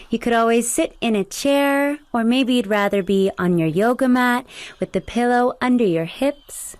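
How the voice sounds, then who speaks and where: A young adult woman leading a guided meditation, speaking slowly and soothingly as she gives gentle instructions. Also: on the high side, no echo.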